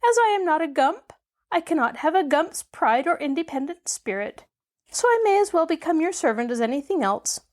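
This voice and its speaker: Woman doing a squeaky gump creature. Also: sing-song, normal speed. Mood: plaintive. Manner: high and plaintive. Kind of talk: audiobook character voice.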